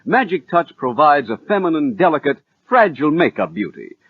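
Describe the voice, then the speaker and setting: Old radio ad man, raspy highish voice, really selling it, tinny sound. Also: sing-song, moderate pace. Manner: emphatic, persuasive salesmanship. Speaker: commercial announcer. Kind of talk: vintage radio cosmetics commercial. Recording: tinny, narrow vintage radio recording.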